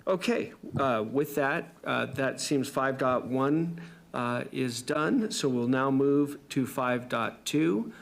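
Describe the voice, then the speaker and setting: A man who is mayor chairing a city council meeting, speaking in a halting way with many filler sounds. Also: medium pitch, creaky, slight background noise.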